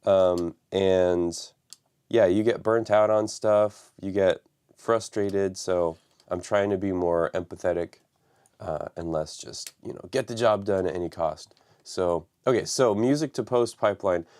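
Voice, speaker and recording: A man hosting a live stream from his home studio, raspy from the flu and talking loosely with many fillers. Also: sits low, unhurried, darkish tone. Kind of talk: casual live stream talk.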